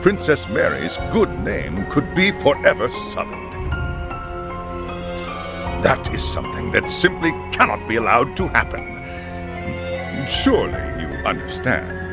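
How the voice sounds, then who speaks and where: An actor playing a stern older academy headmaster in a video game, speaking formally and with measured theatrical weight. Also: male voice, mid-range voice, big pitch swings, creaky. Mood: measured, concerned. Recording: orchestral game music behind the voice. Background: background music.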